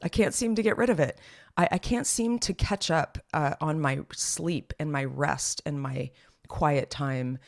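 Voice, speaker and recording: A podcast co-host in her thirties talks in a loose, rambling way, stopping and restarting her sentences often. Her voice is slightly raspy and hoarse. She sounds relaxed and conversational. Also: sits low, measured pace. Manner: chatty and rambling, many fillers and restarts. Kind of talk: casual chat.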